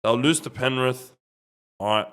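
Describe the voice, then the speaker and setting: A man's voice: medium pitch, moderate pace, fairly bright tone, clear, resonant tone. An Australian man in casual sports banter as a podcast panellist, slangy and opinionated, repeating himself for emphasis. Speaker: rugby league podcast panellist.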